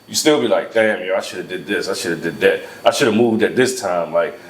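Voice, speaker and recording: A man's voice: low-pitched, brisk, a little hiss or hum. Man hosting in an echoey room. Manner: slangy, profane. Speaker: podcast host, interviewer. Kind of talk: casual interview chat.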